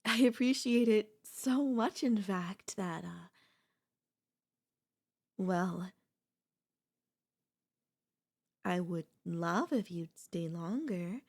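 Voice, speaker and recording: A woman acts out an obsessive older sister-in-law for an ASMR roleplay. Her voice sits a little low for a woman. She coaxes and chats sweetly, with calm, unapologetic menace underneath. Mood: sweetly grateful, insistent. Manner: coaxing, chatty, calmly unapologetic. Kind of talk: ASMR audio roleplay acting.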